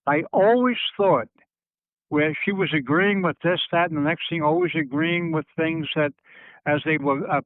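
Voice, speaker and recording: Halting older man on a tinny phone line. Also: a little high, dry recording. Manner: halting, circling and rephrasing, searching for words. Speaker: interviewee recalling family history. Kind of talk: reflective phone interview talk. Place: on a telephone call. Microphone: telephone handset.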